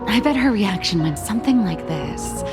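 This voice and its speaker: A woman plays an unflappable female trickster from a video game, in a mid-pitched voice. She is glib, teasing and dryly witty, over clearly audible music. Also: expressive, normal speed, natural voice. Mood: wry, gossipy. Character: cheeky, unflappable female trickster with dry wit. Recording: music behind the voice.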